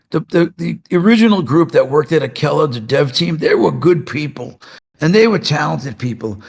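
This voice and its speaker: A man answering fan questions in a casual voice chat, slow and hesitant with many restarts. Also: fairly high pitch, creaky. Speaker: game studio representative answering questions.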